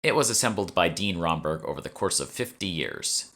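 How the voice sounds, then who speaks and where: A man's voice: sits low, animated, fairly quick, a bit bright, heavily acted. A man voicing a polite, earnest woman curator who explains things courteously in an audiobook. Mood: guiding. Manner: courteous, informative, eager to please. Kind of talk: audiobook character dialogue.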